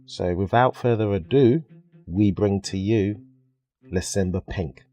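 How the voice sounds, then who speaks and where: A man's voice: fairly low pitch, a little slow, warm tone, soft background music. A British man hosting a podcast in a casual, jokey, chatty way. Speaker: podcast host.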